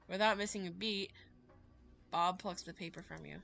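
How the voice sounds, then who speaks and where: A woman's voice: fairly low pitch, fairly even intonation, a little slow, vocal fry, fairly bright tone, dry recording. A woman livestreamer reading a visual novel aloud, narrating dramatically and breaking off into playful asides. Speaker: streamer. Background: prominent music with plucked guitar.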